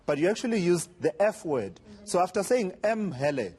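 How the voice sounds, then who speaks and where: A man interviewing a guest on television, pressing his points in a measured way with frequent fillers. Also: high-pitched, very animated, darkish tone, breathy edge. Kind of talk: formal TV interview.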